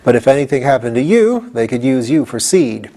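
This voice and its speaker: A man's voice: medium pitch, big pitch swings, fairly quick, dark tone. A man voicing a pompous straw scarecrow king in a storybook, grand and declamatory in manner. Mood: self-important. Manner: grand, declamatory, formal phrasing. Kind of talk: storybook character reading.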